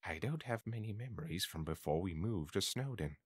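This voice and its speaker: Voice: low-pitched, lively intonation, brisk, slightly rough, faint music behind. A performer voicing a cheerful, theatrical skeleton monster in a comic dub, earnest and breezily nonchalant. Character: cheerful, self-centered, theatrical simple-hearted skeleton monster. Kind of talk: comic dub character acting.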